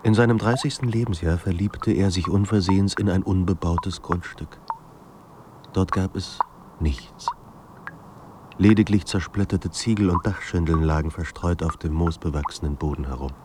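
An actor playing a sombre literary narrator in a radio drama, speaking in a measured, grave manner. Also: male voice, deep voice, steady tone, noisy background, lightly acted. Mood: reflective. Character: sombre literary narrator of a troubled writer's life.

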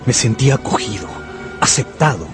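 An actor playing an anxious gambler who narrates his own ruin in a radio novel, brooding and intense, with dramatic pauses. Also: mid-range voice, lively intonation, music in the background, natural voice. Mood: brooding, tempted.

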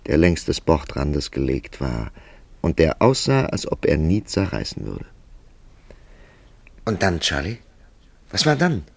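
An actor playing a composed, middle-aged confidant who probes another's dream with measured curiosity in a German radio play. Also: male voice, sits low, gravelly, darkish tone, dry recording, heavily acted. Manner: measured, probing.

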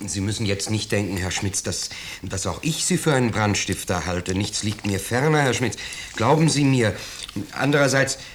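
A man's voice: lowish voice, moderate pace, close to an everyday voice. An actor playing a pompous middle-aged businessman, fussily polite and self-righteous, in a 1950s German radio drama. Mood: awkward, evasive. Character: pompous middle-aged bourgeois businessman, flustered, falsely genial host. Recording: noisy, clicking 1950s radio recording.

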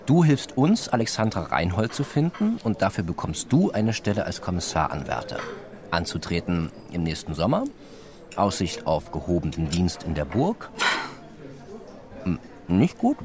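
Deep-voiced persuasive policeman. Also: very animated, normal speed, a crowd in the background. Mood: coaxing. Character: male police officer, coaxing and matter-of-fact deal-maker. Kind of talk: German radio play acting.

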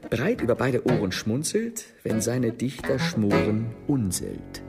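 Very deep-voiced woman reciting rhymes. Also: big pitch swings, a little slow. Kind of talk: scripted recital of rhyming verse. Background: music with guitar.

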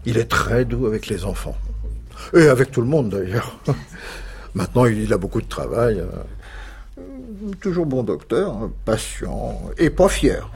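A man's voice: fairly low pitch, sing-song, measured pace, darkish tone. An actor playing a talkative male patient chatting folksily in a waiting room in a radio drama. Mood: chatty.

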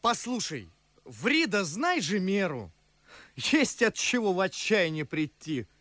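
An actor playing a disillusioned young gentleman in a radio verse comedy, declaiming with bitter, reflective irony. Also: sits high, sing-song, slow, no echo, exaggerated voice. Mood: sardonic. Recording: music under the voice. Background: music.

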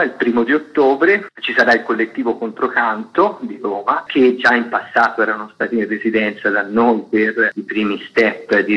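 A man's voice: medium pitch, breathy edge, highs cut off. A man explaining a programme at length as an articulate guest in a radio interview. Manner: articulate, long-winded explanatory sentences. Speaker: festival artistic director, interviewed guest.

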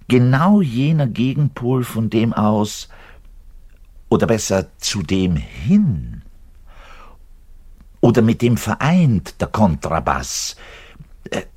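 An actor playing a garrulous, self-important double bass player in his thirties, delivering a digressive radio play monologue straight to the listener with halting self-corrections. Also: darkish tone, slightly rough, exaggerated voice. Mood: rapturous. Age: 30s. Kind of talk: conversational theatrical monologue addressed to the listener.

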